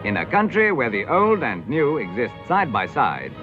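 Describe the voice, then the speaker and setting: Animated British man narrating a vintage educational film. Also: medium pitch. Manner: formal, measured, declamatory. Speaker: documentary narrator. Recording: dull vintage film soundtrack with music. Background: clear background music.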